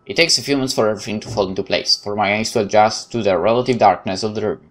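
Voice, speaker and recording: A let's player who reads game text aloud and thinks out loud in hesitant, casual asides. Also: medium pitch, fast. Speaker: gaming video host. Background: game music.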